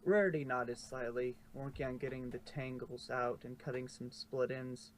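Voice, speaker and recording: Guy reading a fanfic out loud, regular pitch, trips up and corrects himself, bit of noise. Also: steady tone, moderate pace. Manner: informal, stumbles and corrects himself. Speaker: fanfiction narrator. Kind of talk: storybook narration read aloud. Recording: noisy home recording.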